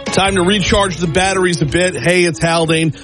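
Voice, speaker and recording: A man hosting a radio show, making a relaxed, friendly on-air announcement. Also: high-pitched, normal speed, over music, rough recording.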